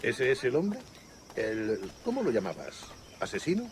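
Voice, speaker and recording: An actor playing a curt, dutiful Spanish guard in a video game. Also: male voice, mid-range voice, huge pitch swings, moderate pace, noisy background. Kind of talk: video game background dialogue.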